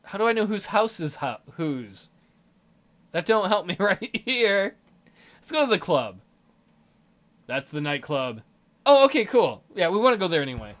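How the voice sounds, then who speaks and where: A man's voice: high-pitched, sing-song, normal speed, pauses often, a bit bright. A man doing casual game commentary and reading the on-screen story aloud, with a joking, sarcastic manner. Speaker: let's player.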